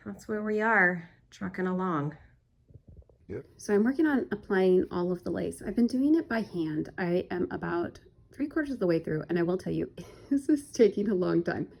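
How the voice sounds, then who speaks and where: A woman's voice: fairly low pitch, vocal fry, a little mellow. A woman talking casually to her viewers while she sews, calling them "my darlings" and explaining her progress. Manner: chatty, addresses viewers as "my darlings". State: croaky from a cold. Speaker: sewing vlogger. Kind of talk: casual sewing vlog talk to viewers.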